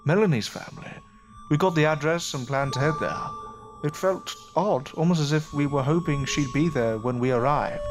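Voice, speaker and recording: A man's voice: on the high side, close to an everyday voice. A man acting an earnest, grieving father who looks into magic in an audio drama.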